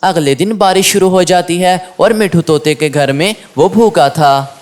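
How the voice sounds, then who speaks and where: Mid-pitched storybook narrator of unclear sex. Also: normal speed. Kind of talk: scripted children's story narration.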